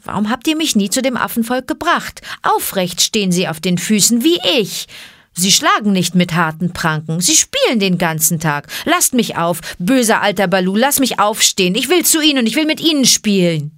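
A woman voicing a young boy raised in the jungle, excited, insistent and pleading. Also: medium pitch, moderate pace, dry recording, clean studio sound, pitched above the performer's own voice.

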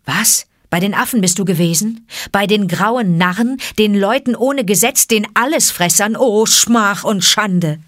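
A woman's voice: medium pitch, a little slow, fairly bright tone, no echo, clean studio sound, voice pushed up, natural voice. A woman voicing a proud male panther, scornful and indignant.

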